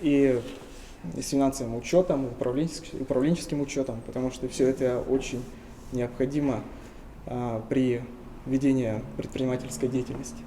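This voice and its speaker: A young man from Tuva in Russia, answering interview questions earnestly and often pausing with hesitation sounds. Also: mid-range voice, steady tone, dark tone, slight background noise, poor audio. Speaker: interview guest.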